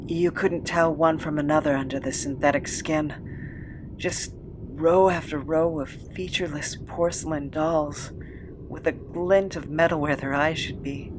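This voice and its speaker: An actor playing a weary, haunted former captive in an audio drama, recounting a cruel past in a halting, measured way. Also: mid-range voice, steady tone, background noise, natural voice. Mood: subdued, uncertain.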